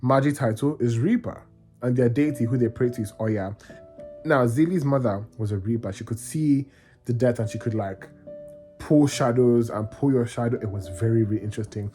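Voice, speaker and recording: Excitable man gushing about books. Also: medium pitch, moderate pace, soft background music. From Nigeria. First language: Yoruba. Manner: excitable, gushing, rambling with restarts. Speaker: book vlogger. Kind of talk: casual reading vlog chat.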